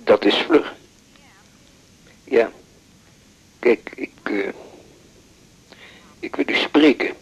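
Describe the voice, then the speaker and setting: A man's voice: mid-range voice, very slow, pauses often, breathy edge, exaggerated voice, low-quality recording. An actor playing a reserved male patient, hesitant, formal and politely insistent, in a naturalistic radio drama. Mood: awkward, insistent.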